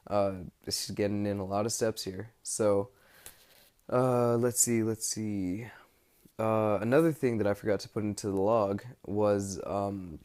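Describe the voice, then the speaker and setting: Young american guy doing a casual audio diary, lowish flat voice, lots of hesitating and trailing off mid thought. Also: unhurried, clean tone. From United States. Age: young adult. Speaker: study-abroad student keeping an audio log. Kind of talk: casual, rambling spoken diary.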